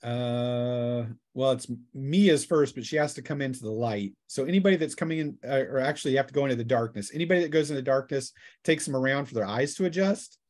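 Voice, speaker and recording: A man's voice: mid-range voice, fast, a little mellow. A man narrating a tabletop role-playing game as game master in a casual, conversational way.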